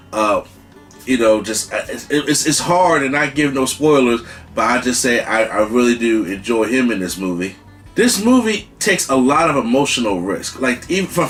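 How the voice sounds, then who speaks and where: Male host, mid-pitched, emphatic and repetitive, quiet guitar music underneath. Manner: excited, repetitive restarts, emphatic. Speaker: channel host, movie reviewer. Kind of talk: casual movie review.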